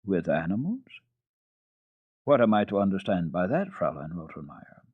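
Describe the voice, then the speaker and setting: A man playing a genial, wry gentleman father with a brisk, courteous manner in a children's storybook reading. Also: sits low, sing-song, slightly rough, no echo, narrow, tinny audio, heavily acted. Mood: wry, puzzled.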